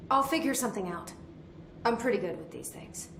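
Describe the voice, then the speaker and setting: A woman's voice: mid-range voice, very animated, fairly quick, creaky, echoey room, slight background noise. An actress playing a determined young female agent in a video game cutscene, speaking in a dry, terse way.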